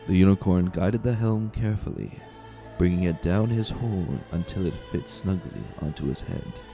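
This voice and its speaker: A man's voice: deep voice, normal speed, warm tone. A man giving a dramatic storybook narration as a scripted reader. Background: faint music.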